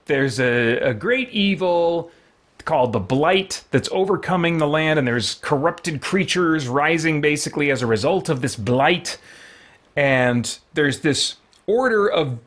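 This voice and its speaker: A man's voice: fairly high pitch, lively intonation, a little slow, a bit bright. A man hosting a live game stream, chatting informally and thinking aloud as he goes. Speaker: live streamer, gaming channel host. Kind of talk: casual live game stream chat and recap.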